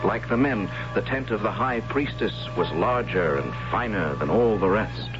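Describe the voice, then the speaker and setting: A man playing a gentle, wondering captive. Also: fairly low pitch, expressive, moderate pace, natural voice. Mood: narrating. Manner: soft-spoken, earnest, hesitant. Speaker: captive narrator of the story. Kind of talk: 1970s radio drama acting and narration. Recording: dull old radio broadcast with music.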